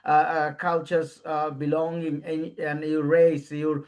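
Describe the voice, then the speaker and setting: Halting man hosting a chat. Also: fairly high pitch, level tone, darkish tone. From Bangladesh. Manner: halting, searching for words. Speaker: podcast host. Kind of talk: casual interview chat.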